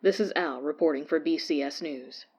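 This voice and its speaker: A woman playing a radio newscaster who reads a disaster report in a measured, formal way. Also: deep voice, creaky, noisy background. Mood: sober, formal. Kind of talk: scripted news report in audio drama.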